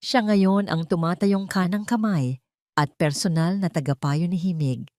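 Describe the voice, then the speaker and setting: A woman's voice: fairly low pitch, a little slow, dark tone, no room sound, studio quality. A woman reading a scripted romantic story aloud as a dramatic narrator.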